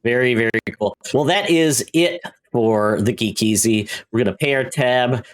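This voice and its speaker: A man's voice: medium pitch, moderate pace. Man, easygoing podcast chat. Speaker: podcast co-host. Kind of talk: casual chat.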